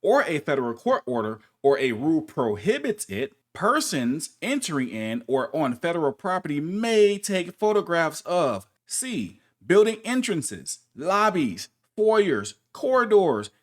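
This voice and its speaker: Man with very animated, emphatic delivery. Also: fairly high pitch. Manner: emphatic, deliberate stress on keywords. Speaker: commentary channel host. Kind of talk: scripted commentary, reading rules aloud.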